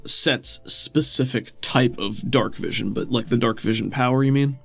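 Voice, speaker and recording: A man's voice: medium pitch, normal speed, vocal fry, fairly bright tone. A man working as game master, narrating a tabletop roleplaying scene in a conversational, descriptive way. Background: music.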